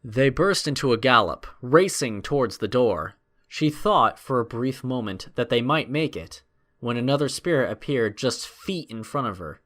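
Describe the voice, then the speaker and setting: A man's voice: medium pitch, animated, moderate pace, fairly bright tone. A man narrating a scripted adventure story in a dramatic storytelling style.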